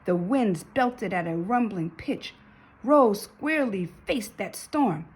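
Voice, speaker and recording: Female narrator for kids' picture book: highly animated pitch, medium register, a touch of rasp, emphatic and dramatic. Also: slow, poor audio. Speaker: storybook narrator. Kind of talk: expressive children's read-aloud.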